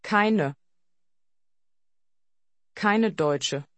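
A woman slowly modeling phrases for learners to repeat in a course recording. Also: medium pitch, no echo. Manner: slow, carefully articulated. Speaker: native model speaker. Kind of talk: slow drill repetition. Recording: dry studio.

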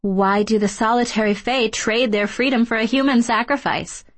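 A woman's voice: fairly high pitch, fairly even intonation, normal speed, close to an everyday voice. A woman voicing a confused, indignant teenage girl in a storybook, blunt and questioning in casual modern speech.

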